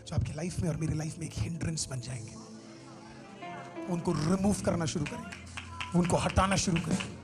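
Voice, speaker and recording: A male pastor preaching a sermon, swinging from hushed asides to shouted exhortation. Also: fairly high pitch, brisk, long pauses. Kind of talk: Hindi sermon with English phrases. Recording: sermon with music behind. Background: music.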